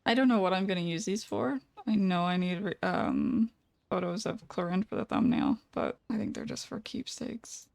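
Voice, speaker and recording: A woman giving cozy, quiet, casual commentary as she plays a game, soft-spoken and informal. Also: lowish voice, big pitch swings, normal speed, creaky, no room sound. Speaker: streamer, gameplay commentator.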